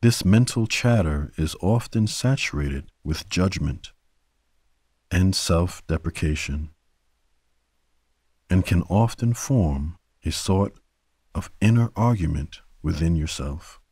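A man with a very low, mellow voice guides a bedtime meditation. He speaks gently and soothingly, letting each phrase settle before the next. The sound is close and very dry. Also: animated, breathy edge, dry recording. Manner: gentle, soothing, unhurried delivery with long pauses. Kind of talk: guided sleep meditation narration.

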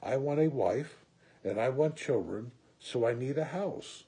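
Man reading as a needy grown boy, mellow. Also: mid-range voice, animated, a little slow, husky, heavily acted. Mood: wanting. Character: needy boy grown older, wanting things.

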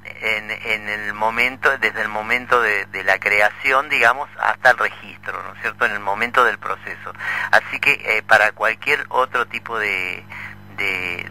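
A middle-aged Argentine man co-hosting a radio show, making announcements in a halting way and often repeating himself. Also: on the low side, sharp tone, poor audio. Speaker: radio co-host.

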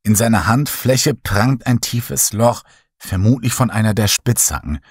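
Breathy man reading horror. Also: on the low side, measured pace, no echo, studio quality. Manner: dramatic first-person storytelling, lively voiced dialogue. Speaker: narrator.

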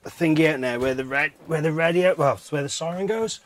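A man's voice: fairly high pitch, brisk. A British man giving a casual hands-on how-to commentary, informally thinking aloud as he works. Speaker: DIY vehicle vlogger.

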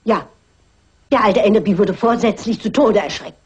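An actress playing a shrewd, stubborn elderly lady detective in a German crime radio drama, brisk and precise. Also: medium pitch, slightly rough. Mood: insistent. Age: older. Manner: brisk, self-assured, precise.

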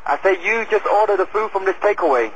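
A man handles a takeaway order by phone. His voice is fairly high. There is faint noise on the line behind him. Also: expressive, fast, fairly bright tone. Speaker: takeaway worker taking orders. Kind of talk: business phone call. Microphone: telephone. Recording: phone call with background noise.

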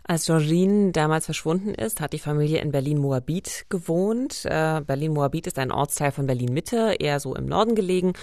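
A woman's voice: low-pitched, lively intonation, brisk, few pauses, darkish tone, no room sound. A woman presenting a crime podcast as co-host, introducing and explaining.

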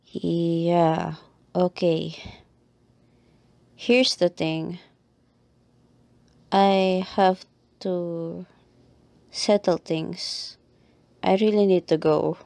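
A woman acts out a reluctant tsundere girl in an ASMR roleplay. Her voice is mellow, with plenty of fry. She talks very slowly in short, halting phrases, easily flustered. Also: lowish voice, fairly even intonation, no room sound, heavily acted.

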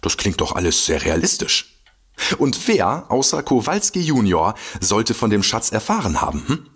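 An actor playing an excitable, boastful detective with a husky voice in a comedy mystery audio drama. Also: male voice, fairly low pitch, moderate pace, full character voice. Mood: eager, insistent. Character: excitable, boastful male detective eager to explain. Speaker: amateur detective.

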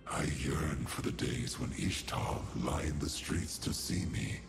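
Deep, gravelly ancient monster. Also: male voice, music in the background, full character voice. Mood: weary, proud. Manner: slow, grand, theatrical pronouncements. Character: ancient giant scorpion guardian, proud, menacing, paranoid. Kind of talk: video game character voice lines.

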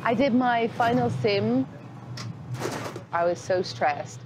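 A woman in her thirties, an airline pilot, speaking haltingly in a candid interview. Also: a little high, background noise. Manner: hesitant, halting, with restarts. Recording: interview with music behind.

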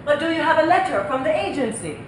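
An echoing, noisy theatre recording with an audience. a snooty wife on stage, high and swooping in pitch. She is precise, haughty and cutting. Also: big pitch swings, fast talker. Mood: suspicious, sarcastic. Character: snooty, sharp-tongued wife interrogating the new help. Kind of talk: comedic stage play acting.

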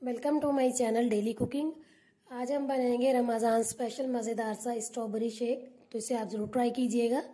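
A woman hosting a cooking channel, calmly narrating a recipe step by step as a voice-over. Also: fairly high pitch, moderate pace. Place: home kitchen.

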